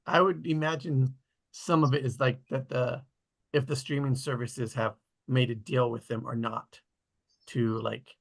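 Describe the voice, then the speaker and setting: Gravelly, husky man chatting casually. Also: mid-range voice, steady tone, measured pace. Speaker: table read participant. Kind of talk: casual chat about films and scripts.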